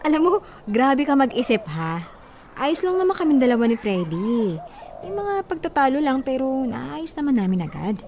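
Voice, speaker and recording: A woman's voice: high voice, sing-song, moderate pace, a little mellow, a little hiss or hum. An actress playing a defensive married woman on a tight budget in a radio drama, sighing and brushing off worries. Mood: dismissive.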